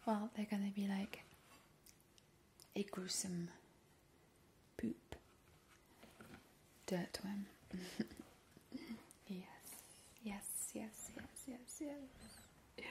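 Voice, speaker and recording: A woman playing a playfully creepy, doting vampire in a breathy, cooing ASMR whisper. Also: medium pitch, a little hiss or hum, natural voice. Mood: teasing, coaxing. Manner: slow, lingering, cooing. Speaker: ASMR performer. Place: small indoor kitchen.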